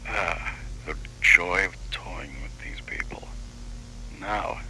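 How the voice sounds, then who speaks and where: A man playing a sinister, gleeful villain who gloats slowly in a whispery voice. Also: low voice, pushed and bright, full character voice, low-quality recording. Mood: gloating, menacing. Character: gleeful sinister villain toying with his victims.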